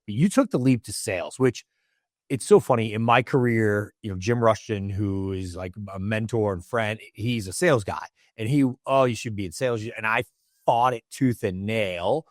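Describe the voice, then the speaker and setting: A man hosting a podcast interview in casual chat, friendly, with quick short reactions. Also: on the low side, no room sound, studio quality. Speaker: podcast host.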